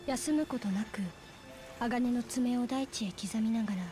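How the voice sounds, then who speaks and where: A woman acting as the solemn, measured storyteller of a wartime video game. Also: fairly high pitch, over music, close to an everyday voice. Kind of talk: dramatic scripted game narration.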